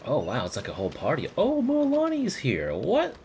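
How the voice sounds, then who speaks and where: A man's voice: on the high side, huge pitch swings, moderate pace, a little mellow, a little hiss or hum. A man streaming a game with casual live commentary, exclaiming and musing aloud to himself. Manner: exclaims and muses aloud to self. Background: game music.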